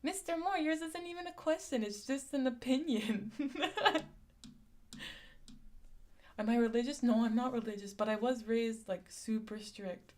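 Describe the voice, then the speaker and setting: A woman's voice: on the high side, a little slow, a little mellow. A young woman from San Diego with a lisp, chatting casually on a live stream, reading chat comments aloud and laughing often. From San Diego, USA.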